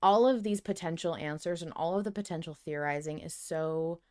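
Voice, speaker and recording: A woman with a fairly low voice chats as a podcast co-host. She is animated and emphatic, working through fan theories out loud in a loose, conversational way. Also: moderate pace. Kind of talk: casual fan theorizing discussion.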